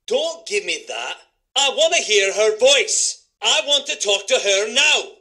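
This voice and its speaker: A restless, secretive informant in a video game. His voice is high and sharp, with animated swings of pitch. He speaks tersely, as a man on edge. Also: normal speed. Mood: guilty, defiant. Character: edgy, secretive man working as reluctant informant.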